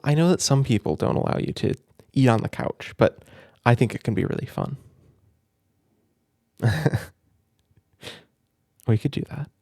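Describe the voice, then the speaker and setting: A man speaking in a gentle, doting, playful way, as in a soft ASMR roleplay where a caring big brother reads a children's book aloud. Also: medium pitch, creaky, no room sound, clean studio sound. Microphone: close microphone, dry room.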